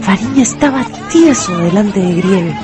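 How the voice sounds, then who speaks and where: A woman's voice: mid-range voice, lively intonation, moderate pace. A woman with a Peruvian accent narrating a scripted radio story in a dramatic style. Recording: music and schoolyard crowd behind.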